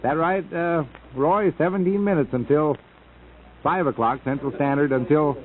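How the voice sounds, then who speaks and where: A man's voice: fairly high pitch, moderate pace, narrow, tinny audio. An American man hosting a casual early-morning radio show, chatting in a folksy, joking, rambling way on a vintage 1950s AM broadcast. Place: radio studio.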